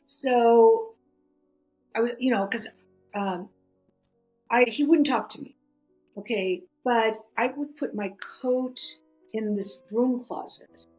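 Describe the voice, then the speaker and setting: A middle-aged woman whose first language is Greek, a podcast guest telling stories in a lively way, full of restarts and "you know". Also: on the high side, slow pace, long pauses, soft background music, rough recording. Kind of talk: conversational storytelling.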